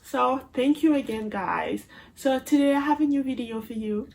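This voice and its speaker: A woman's voice: high-pitched, moderate pace, gravelly, darkish tone, a little hiss or hum, poor audio. A woman in her twenties with a Haitian Creole accent, talking casually to her viewers in a chatty, playful vlog, recorded on a phone. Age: 20s. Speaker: vlogger. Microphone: phone camera.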